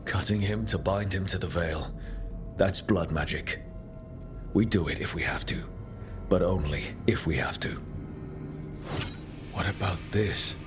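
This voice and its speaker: An actor playing a steady, determined heroic leader in a fantasy game, speaking earnestly and resolutely. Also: male voice, sits low, unhurried, long pauses, over music. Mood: grim resolve. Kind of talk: dramatic video game acting.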